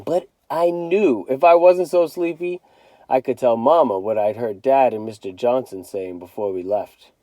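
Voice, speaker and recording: A man reading a children's novel aloud as a teacher would, in an expressive storytelling style. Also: medium pitch, normal speed, dry recording.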